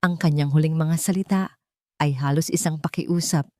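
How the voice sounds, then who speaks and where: A woman's voice: deep voice, fairly even intonation, moderate pace, a little mellow, no echo, studio quality. A woman reading a dramatic romance story as a scripted narration.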